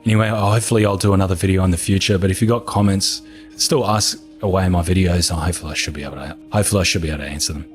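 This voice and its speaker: Calm low-voiced New man. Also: faint music behind. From New Zealand. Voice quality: calm, soothing voice. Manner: relaxed, unhurried, matter-of-fact. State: hoarse from talking for over an hour. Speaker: gaming video creator answering viewer questions. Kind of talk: casual Q&A chat.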